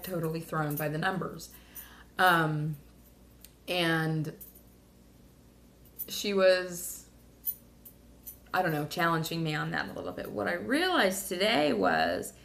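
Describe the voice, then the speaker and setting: A woman with a lowish, gravelly voice talks straight to camera in a loose, emotional video diary. She is a little hoarse and rambles through fillers and restarts. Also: fairly bright tone. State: slightly hoarse. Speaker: vlogger. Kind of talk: casual personal video diary.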